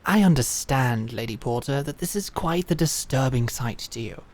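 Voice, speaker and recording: A woman playing a composed, courteous female knight who speaks formally and persuasively in an audio drama. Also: extremely low pitch. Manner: calm, formal, measured persuasion.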